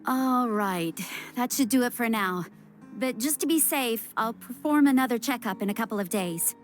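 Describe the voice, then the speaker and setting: A woman's voice: fairly high pitch, moderate pace, close to an everyday voice. A woman playing a kindly, reassuring female doctor in a video game. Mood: attentive. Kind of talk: animated video game voice acting. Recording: guitar music clearly behind voice.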